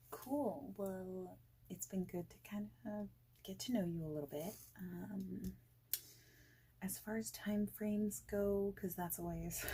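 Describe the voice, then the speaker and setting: A woman doing a soft-spoken ASMR interview roleplay, with a breathy voice that often slips into whispering and a casual, hesitant, chatty delivery. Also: lowish voice, slight background noise, poor audio.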